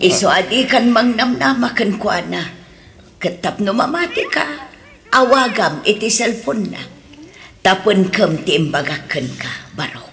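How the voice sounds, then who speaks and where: A woman playing a concerned, expressive mother in a radio drama with an Ilocano accent, on a noisy recording. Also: medium pitch, unhurried. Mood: frank, discouraging.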